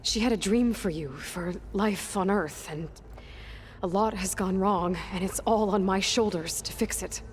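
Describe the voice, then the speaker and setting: A woman playing an earnest, burdened young hunter in a video game, with a low-key, determined delivery. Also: a little slow, a little hiss or hum, close to an everyday voice. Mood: burdened, unsure. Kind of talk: naturalistic video game cutscene acting.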